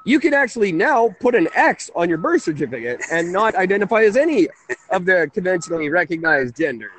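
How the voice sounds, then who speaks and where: A man hosting a conversational call-in radio show, halting and often interrupting himself. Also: sits high, sing-song, a bit bright, slight background noise, low-quality recording. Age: 30s.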